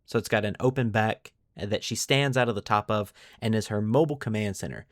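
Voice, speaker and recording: A man's voice: lowish voice, brisk, dry recording, studio quality. A man hosting a podcast, mixing scripted lore narration with casual commentary and putting dramatic emphasis on key words. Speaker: podcast host.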